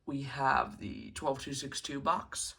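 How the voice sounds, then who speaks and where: A man's voice: medium pitch, takes it slowly, gravelly, sharp tone, breathy edge. A man hosting a casual, unscripted show-and-tell review at home, talking in a hesitant, rambling way into a handheld camera. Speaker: hobbyist video host. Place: carpeted room at home.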